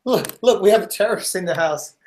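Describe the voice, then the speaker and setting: A man's voice: high voice, animated, fast talker, very noisy. A man co-hosting a podcast, bantering in a casual, joking way. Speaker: podcast co-host. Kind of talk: casual chat.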